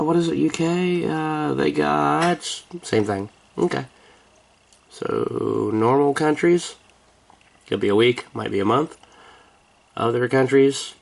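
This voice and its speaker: A man's voice: lowish voice, creaky. An American man vlogging in a rambling, casual chat, with a wry, joking, sarcastic manner. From United States.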